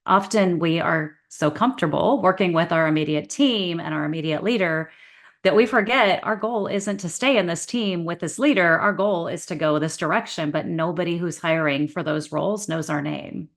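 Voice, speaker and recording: A woman's voice: fairly low pitch, moderate pace. A woman author and career coach talking as a guest in an interview, giving direct, motivational advice with confidence. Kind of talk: casual interview chat.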